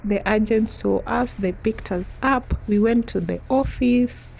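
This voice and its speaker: A young woman from East Africa tells a personal story to her vlog audience in an unhurried, easygoing way. Her voice carries a gravelly edge, and soft laughs keep slipping between her sentences. A faint hum of noise sits under the recording. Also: on the high side. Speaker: vlogger.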